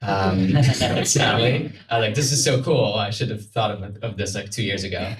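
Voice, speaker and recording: Swiss-born guy on a panel, deep voice, informal and a bit halting, echoey room with some noise. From Switzerland. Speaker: panel guest from a carbon removal company. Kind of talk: casual spoken panel talk. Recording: roomy, distant mic, noisy event space.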